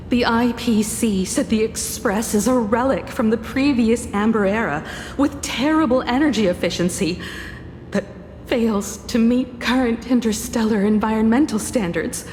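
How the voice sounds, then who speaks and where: A woman's voice: a little high, darkish tone, long reverb tail, slight background noise, lightly acted. An actress playing an earnest young woman explaining bad news in a video game. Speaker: game character.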